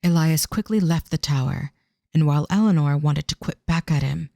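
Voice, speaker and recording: A woman's voice: sits low, moderate pace, creaky, mellow, no echo, clean studio sound. A woman narrating a scripted audio drama as a storyteller.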